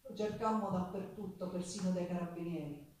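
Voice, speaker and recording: A woman's voice: lowish voice, normal speed, dark tone, natural voice. A woman playing a passionate working-class Sicilian who recalls her hard life in a dramatic, emphatic stage monologue with a Sicilian accent. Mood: grave, grieving. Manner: dramatic, emphatic storytelling with repetitions. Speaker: stage actress and singer in a monologue. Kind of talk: theatrical monologue with folk singing. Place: theatre stage with live guitar. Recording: echoey theatre, distant mic, guitar music.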